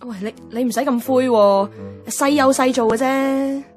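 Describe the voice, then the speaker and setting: A woman's voice: high voice, moderate pace, darkish tone, soft background music, lightly acted. An actress playing a jealous, wistful young woman from radio, with a Hong Kong Cantonese accent, in reflective, emotional radio drama delivery. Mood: wry, surprised. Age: young adult. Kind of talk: Cantonese radio drama dialogue and inner monologue.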